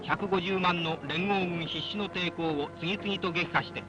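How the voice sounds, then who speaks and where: A man's voice: high voice, steady tone, unhurried, muffled recording. A man from Japan narrating a 1940s wartime newsreel in a formal, declamatory, rousing manner. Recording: old band-limited 1940s newsreel soundtrack, music behind. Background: loud background music.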